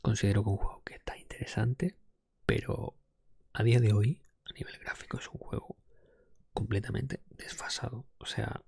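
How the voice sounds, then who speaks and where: A man speaks in a breathy, crackling whisper. He sounds very close and dry, as in an ASMR podcast. His delivery is casual and a little hesitant, as he thinks aloud. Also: on the low side, steady tone, normal speed, dark tone, no room sound. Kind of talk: whispered ASMR talk, casual game ranking. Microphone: close microphone.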